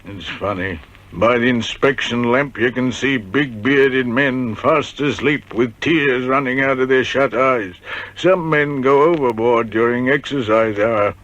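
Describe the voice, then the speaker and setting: An actor playing a haunted old ex-convict with a cracked voice, telling grim prison memories with bitter irony in a radio drama. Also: male voice, mid-range voice, unhurried, a bit bright, natural voice. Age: older. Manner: grim, bitterly ironic storytelling. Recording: dull, lo-fi vintage radio recording.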